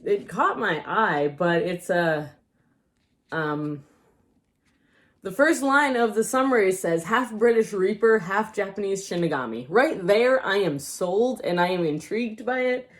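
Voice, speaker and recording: A woman chatting through a casual book haul for her channel. Her voice is wearing thin and she is losing it. She rambles, hedges and second-guesses the details as she talks. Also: on the high side. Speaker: book vlogger.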